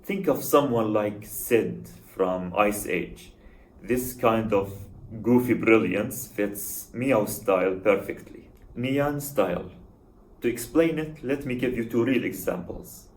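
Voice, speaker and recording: A man's voice: lowish voice, slow, rough recording. A man hosting a channel, giving earnest, analytical spoken commentary and critique, sometimes repeating a word as he goes. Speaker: channel host reviewing an app.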